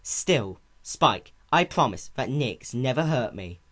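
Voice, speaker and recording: A performer voicing a caring female pony in a cartoon-based story reading, soft, patient and reassuring like a big sister. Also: medium pitch, sing-song, slow, exaggerated voice. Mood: guilty.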